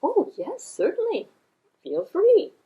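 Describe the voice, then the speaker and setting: A woman's voice: very high-pitched, huge pitch swings, slow pace, dark tone, pitched above the performer's own voice, full character voice, poor audio. A woman voicing a genteel, agreeable, apologetic lady in a puppet show, with a gracious, airy manner. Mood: obliging.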